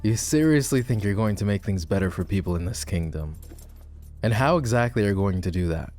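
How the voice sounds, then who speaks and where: A man playing a proud, bitter lowborn knight and war hero in a dramatic fantasy roleplay audio, with a sardonic, scornful manner. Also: lightly acted. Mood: incredulous, bitter. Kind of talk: fantasy roleplay ASMR voice acting.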